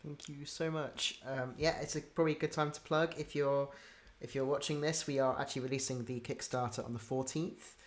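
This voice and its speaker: A woman's voice: very deep voice, steady tone, fairly quick. A woman hosting a casual, unscripted interview. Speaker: interviewer, event host.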